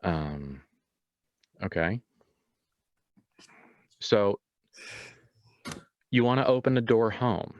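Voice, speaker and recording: A man's voice: lowish voice, lively intonation, extremely slow, long pauses, no echo, highs cut off. A man acting as game master, casually guiding players through a tabletop roleplaying game.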